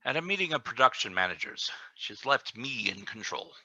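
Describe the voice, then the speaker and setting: Male actor as calculating deputy governor, piercingly bright, emphatic, dry close recording, radio drama. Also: mid-range voice, very animated, moderate pace, no echo, muffled recording, exaggerated voice. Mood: scheming, commanding. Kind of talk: radio play script reading.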